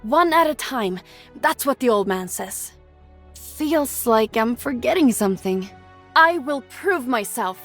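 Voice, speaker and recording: Young female game hero, Swedish accent, higher voice, animated, eager, humble, a touch sassy. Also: a little slow, heavily acted. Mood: determined. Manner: spirited, cheeky. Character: young woman squire-engineer, enthusiastic, humble, slightly sassy. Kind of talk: video game hero voice lines. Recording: game voice clips over faint music.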